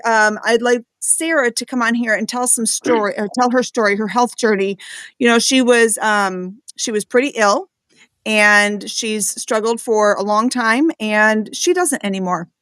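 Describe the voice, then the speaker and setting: A woman's voice: fairly high pitch, fairly bright tone, dry recording. Chatty woman host on a dry mic. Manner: chatty, hesitant with fillers. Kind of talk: live-stream hosting.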